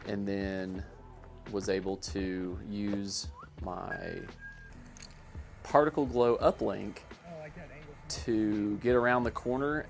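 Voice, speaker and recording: A man giving a casual, self-deprecating voice-over commentary on his own amateur sports highlights in a matter-of-fact way. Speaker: amateur disc golfer narrating own highlights.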